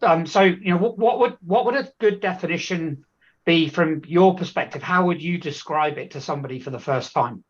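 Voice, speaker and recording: Casting: male webcast host, fairly high voice, informal and halting, chatty interview style, dull web-call sound. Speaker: company chief executive hosting a webcast. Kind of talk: conversational interview. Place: online webcast. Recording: dull, band-limited web call audio.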